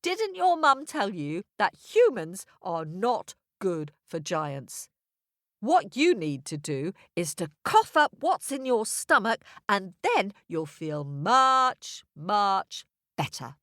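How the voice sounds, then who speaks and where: A woman voicing a boastful, hungry male coyote trickster in a told story, cocky and quick-talking. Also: medium pitch, big pitch swings, a bit bright, no room sound, studio quality. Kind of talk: storybook character voice.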